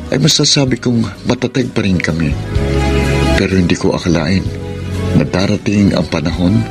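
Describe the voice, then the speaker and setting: A man's voice: deep voice, normal speed, close to an everyday voice. A man playing a devoted young boyfriend in a Filipino radio drama, earnest and sentimental, with a Filipino accent. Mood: earnest, confiding. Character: devoted young boyfriend abroad, letter-writing narrator. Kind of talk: radio drama acting and narration. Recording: loud music under the voice.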